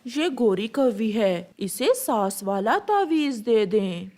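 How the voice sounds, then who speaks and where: Lady playing a nice calm pigeon guy in a cartoon, polite advice, talks slowly. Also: a little high. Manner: advising, polite. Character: calm helpful young male pigeon. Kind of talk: animated moral story dialogue.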